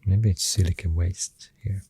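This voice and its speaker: An ASMR creator with a Swedish accent, speaking very softly and often near a whisper, thinking aloud hesitantly into a close microphone. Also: low-pitched, fairly even intonation, unhurried, no echo. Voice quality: very soft, breathy, often dropping to a whisper. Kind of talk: soft-spoken ASMR, rambling science facts.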